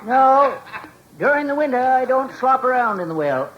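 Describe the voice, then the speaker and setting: An actor playing a crusty, folksy old codger, speaking deadpan in a 1940s radio comedy. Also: male voice, very high voice, normal speed. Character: crusty old deadpan rural New England codger Titus Moody. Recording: dull, noisy vintage radio recording.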